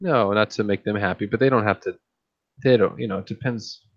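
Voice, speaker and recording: A man with a deep voice who streams about fish keeping, chatting casually late at night and thinking aloud with many restarts. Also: brisk, lo-fi sound.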